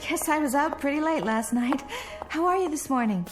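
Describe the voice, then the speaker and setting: Earnest, high-voiced, plain-spoken working gal. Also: female voice, lively intonation, brisk, music in the background. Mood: friendly. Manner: plain-spoken, wistful, hesitant. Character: earnest, friendly, plain-living working woman out of place in luxury. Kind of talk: radio drama acting.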